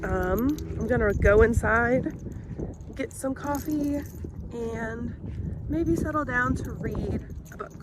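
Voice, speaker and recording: A young woman vlogging in a relaxed, rambling way, sounding congested and sick. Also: fairly high pitch, slow pace, very noisy. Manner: rambling, chatty with fillers. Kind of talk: casual vlog chat.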